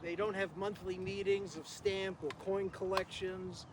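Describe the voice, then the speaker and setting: An older man giving an informal, reminiscing memorial tribute outdoors, speaking haltingly and rambling with frequent pauses. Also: sits high, little pitch movement. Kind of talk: informal reminiscing speech to a gathering. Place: outdoors in a garden. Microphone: distant camera microphone, no working PA. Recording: noisy outdoor recording, distant microphone.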